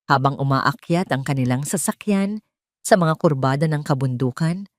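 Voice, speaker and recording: A woman's voice: deep voice, a little mellow, no room sound, studio quality. A woman with a Filipino accent narrating a scripted, dramatic audio drama story.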